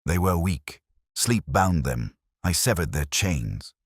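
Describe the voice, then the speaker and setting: A man voicing a coolly grandiose, fanatical murderer in an audiobook, with a calm, menacing, declamatory delivery. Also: a bass voice, takes it slowly, slightly rough, no room sound, studio quality, full character voice. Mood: calm, chilling. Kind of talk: dramatized audiobook villain.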